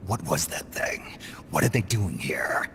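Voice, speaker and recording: Very deep, gravelly, terse soldier. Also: male voice, very animated, a bit bright, whispered, exaggerated voice. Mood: grave, probing. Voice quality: gravelly, breathy low rasp. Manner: terse, halting questions. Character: gruff, terse male mercenary soldier posing as butterfly expert. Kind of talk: video game cutscene acting. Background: music.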